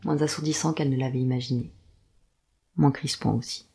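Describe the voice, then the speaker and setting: A narrator of a scripted audio drama, telling the story in a measured, literary manner. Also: mid-range voice, fairly even intonation, creaky, warm tone, slightly rough. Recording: faint background ambience.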